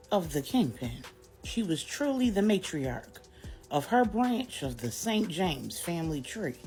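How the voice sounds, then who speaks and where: A woman narrating a dramatic story for adults in a wry, deliberate, emphatic way. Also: fairly low pitch, dark tone.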